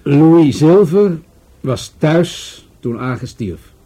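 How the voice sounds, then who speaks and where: An older police commissioner in a radio drama, sceptical and used to command. His voice is dark and husky, and he questions others in a deliberate, authoritative way. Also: fairly high pitch, expressive, exaggerated voice. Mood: measured, sceptical. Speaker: actor in a radio play. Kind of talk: Dutch radio play acting.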